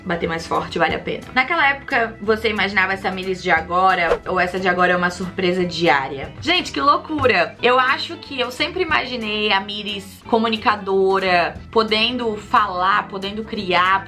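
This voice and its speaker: Effusive young woman, bright voice. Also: mid-range voice. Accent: softened Carioca Portuguese. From Rio de Janeiro, Brazil. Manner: chatty and effusive, with lively swings in emphasis. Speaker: vlogger and journalist. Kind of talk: casual vlog chat to viewers.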